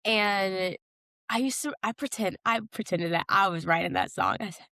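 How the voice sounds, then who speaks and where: A woman's voice: mid-range voice, normal speed, bright, forceful tone, no echo, clean studio sound. A young American woman chatting casually as a podcast co-host, with slangy, emphatic phrasing. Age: young adult.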